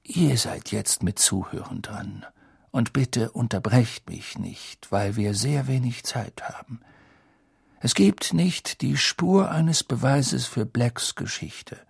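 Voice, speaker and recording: A man reading an audiobook, voicing an old, wise wizard headmaster who speaks in a measured, grave and sometimes hushed way. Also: animated, creaky, breathy edge, dry recording, studio quality, exaggerated voice. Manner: measured, low, at times hushed.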